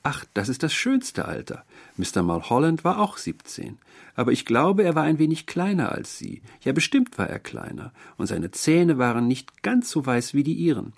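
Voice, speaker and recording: Man voicing a cooing, eerie sweet landlady, raised pitch. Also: big pitch swings, normal speed, creaky, exaggerated voice. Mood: admiring, eerie. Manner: cooing, motherly, over-familiar endearments. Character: sweet, doting, quietly eerie middle-aged landlady, female.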